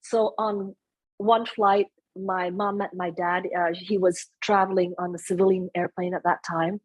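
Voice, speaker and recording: A soft-spoken middle-aged woman speaking as a guest in an interview, chatting about family, sometimes slipping into Vietnamese phrases. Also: lowish voice, little pitch movement, measured pace, rough recording. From United States. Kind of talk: conversational interview.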